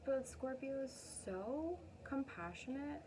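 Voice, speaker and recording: A woman's voice: high voice, animated, slow pace, noisy background. A woman hosting a casual, chatty card reading, speaking effusively and affirmingly with rambling asides. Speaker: astrologer and tarot reader, channel host.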